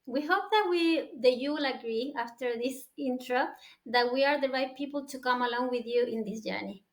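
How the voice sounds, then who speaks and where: High-voiced Chilean woman presenting a course. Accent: Chilean Spanish. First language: Spanish. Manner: halting, non-native English phrasing. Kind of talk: course introduction to camera.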